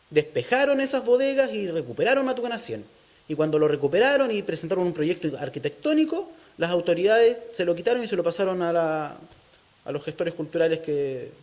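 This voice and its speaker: A man's voice: high voice, darkish tone. A man with a Chilean accent answering as an interviewee, explaining his opinions thoughtfully and often checking in with short tag questions. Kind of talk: thoughtful interview talk on local culture.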